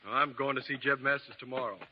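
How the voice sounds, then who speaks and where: An actor playing a good-humored, easygoing frontier husband, in a 1930s radio play. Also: male voice, medium pitch, little pitch movement, quick pace, slight background noise, lightly acted. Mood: easy. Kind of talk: 1930s radio drama acting. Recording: dull old radio recording.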